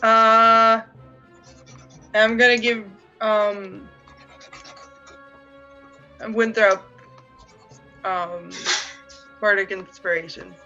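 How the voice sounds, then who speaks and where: A woman's voice: medium pitch, level tone, very slow, long pauses, muffled recording, over music. A woman taking her turn as a player in a tabletop role-playing game, in casual chat.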